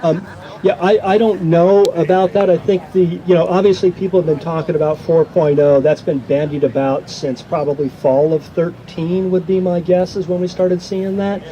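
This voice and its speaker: A man's voice: fairly even intonation, moderate pace, mellow, highs cut off. A man speaking as an academic presenter in an informal, conversational question-and-answer style, hedging as he goes. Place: outdoor campground at an amphitheater. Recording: noisy outdoor event, engine-like background rumble.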